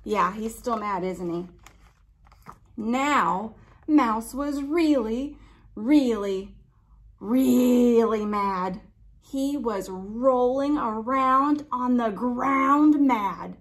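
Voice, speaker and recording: A young woman reads a picture book aloud to children in a fairly high voice. Her delivery is very slow and expressive, stretching key words and turning to the listeners with simple questions. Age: young adult. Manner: expressive, drawn-out emphasis. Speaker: library storytime reader.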